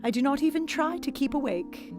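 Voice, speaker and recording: An actress voicing a young woman who reads her diary aloud in a gentle, measured way, in a radio play. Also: high-pitched, lively intonation. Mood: hopeful. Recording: music playing underneath.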